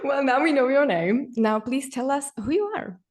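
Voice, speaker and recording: A woman's voice: high-pitched, lively intonation, moderate pace, a little mellow. A woman host opening the show and asking her guest questions in a welcoming way. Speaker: podcast host. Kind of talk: interview hosting.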